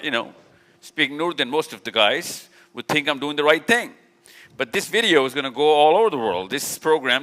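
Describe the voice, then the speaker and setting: A man addresses a live crowd, his voice fairly high and ringing bright. He is direct and teasing with his listeners. A long hall reverb trails every phrase. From Pakistan. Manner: direct and teasing, repeats "yeah" often. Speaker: speaker. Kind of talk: live talk with audience interaction. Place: large event hall.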